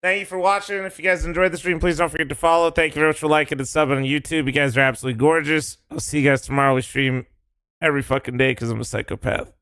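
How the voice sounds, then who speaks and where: A man's voice: on the high side, fairly even intonation, rapid-fire, a bit bright, clean tone, dry recording. A young man streaming a game, tired and losing his voice, swearing casually in a laid-back way. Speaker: streamer playing with teammates. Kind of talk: casual gaming stream chat.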